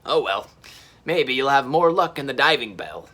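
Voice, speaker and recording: A man's voice: mid-range voice, sing-song, normal speed, bright, forceful tone. A man voicing a helpful, slightly worried male dinosaur boss in a children's story, explaining things emphatically. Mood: rueful.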